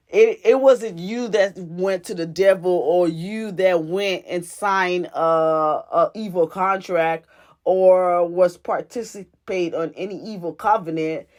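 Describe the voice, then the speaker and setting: A woman from Haiti with a Haitian accent, giving a prophetic teaching monologue as a spiritual teacher, earnest and repetitive, often restarting her phrases. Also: lowish voice. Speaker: spiritual teacher and life coach.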